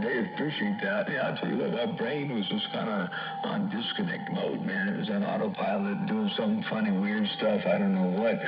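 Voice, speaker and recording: A man's voice: fairly low pitch, highs cut off. A middle-aged American man chatting in CB radio slang and call signs, with a jokey, folksy manner, over a radio transmission. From US West Coast. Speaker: CB radio operator. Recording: band-limited CB radio sound.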